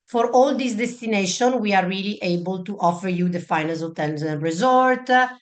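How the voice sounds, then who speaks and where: Italian lady giving a webinar talk, lowish voice, earnest, thick accent, some uhs. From Italy. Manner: earnest, emphatic, with fillers and non-native phrasing. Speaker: presenter. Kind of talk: business webinar presentation.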